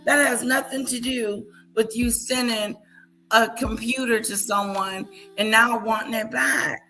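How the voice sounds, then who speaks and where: A woman's voice: a little high, fairly even intonation, unhurried, bright, forceful tone, faint music behind, rough recording. A woman doing reaction commentary in a blunt way, repeating her points and mimicking other people's words. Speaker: commentary host. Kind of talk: reaction commentary on played clips.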